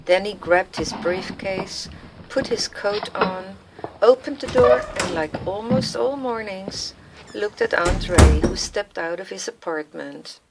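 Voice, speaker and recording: A woman's voice: mid-range voice, expressive, takes it slowly, heavy background noise. A woman reading scripted story narration as the narrator of a radio play. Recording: music laid under the voice.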